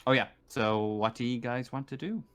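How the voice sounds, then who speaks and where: A man's voice: medium pitch, normal speed, fairly bright tone, smooth. A man casually improvising as game master on an online call, hesitant and thinking aloud as he explains things.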